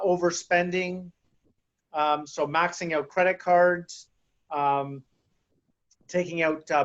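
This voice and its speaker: A man's voice: fairly high pitch, lo-fi sound. A man explaining grounding and chakras in a casual, hesitant way, full of fillers and restarts, over a video call. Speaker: guest speaker on grounding and chakras.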